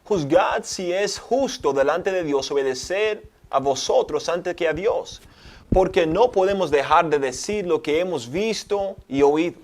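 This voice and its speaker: Man, New York accent, native English speaker, slightly high voice, reads scripture, co-host of a Bible study. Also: lively intonation, a little slow. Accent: American English (New York). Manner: reads scripture aloud, short agreeing replies.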